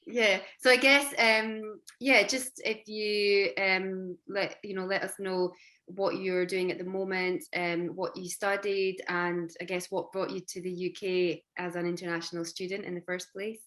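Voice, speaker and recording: A woman's voice: medium pitch, flat delivery, a little slow, fairly bright tone. A woman hosting an interview on a video call, chatty and full of fillers. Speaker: host, careers adviser.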